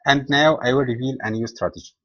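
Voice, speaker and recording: A man from Egypt hosts an online trading webinar, reading his lesson at a steady, even pace with slightly non-native phrasing. The recording is dry and somewhat dull, with a mid-range voice. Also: medium pitch, fast talker, no echo. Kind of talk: scripted webinar lecture.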